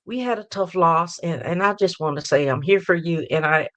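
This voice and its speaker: A hoarse woman hosting a live sports fan talk show, upbeat and rambling, repeating her hype lines. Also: fairly low pitch, normal speed, a bit bright, no room sound. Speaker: host.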